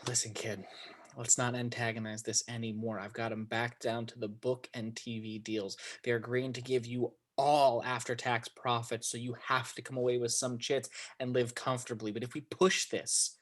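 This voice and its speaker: A man's voice: mid-range voice, little pitch movement, moderate pace, natural voice. A man playing a weary, beaten-down agent pleading with his client, wordy and profane, in an amateur script read. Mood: firm warning.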